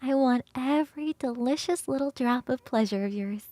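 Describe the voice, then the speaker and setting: A woman voicing a playful, hungry and affectionate succubus roommate in an ASMR roleplay, coaxing and teasing with sighs and soft, breathy turns. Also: sits high, no echo, close to an everyday voice. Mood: soft, intimate. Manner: coaxing, sighing, drawn-out savouring, shifting into soft whispers.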